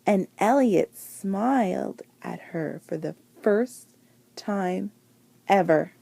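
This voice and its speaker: A woman reading a children's picture book aloud with deliberate, dramatic phrasing and emphasised words. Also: mid-range voice, expressive, vocal fry, dark tone, breathy edge. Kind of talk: expressive children's storybook read-aloud.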